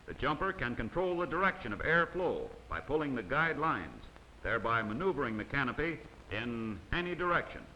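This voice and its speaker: Man for a formal instructional film voice-over, bright, sharp tone, faint hiss underneath. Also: medium pitch, sing-song, brisk, rough recording. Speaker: narrator of a training film. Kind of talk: scripted documentary narration. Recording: faint hiss of old 16mm optical soundtrack.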